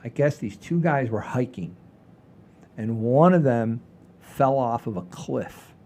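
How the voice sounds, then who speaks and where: A man in his sixties with a soft-edged, mellow voice at a middling pitch hosts a comedy podcast. He chats casually, like someone telling stories at home, leaning on repetition for emphasis and cursing without fuss. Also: lively intonation, takes it slowly. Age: 60s. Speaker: podcast host. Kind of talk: casual comedic storytelling chat.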